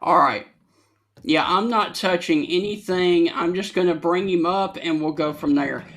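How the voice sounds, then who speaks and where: Easygoing thirties male host, high voice. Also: measured pace, a bit bright, slight background noise. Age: 30s. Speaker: show host. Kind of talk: casual interview chat.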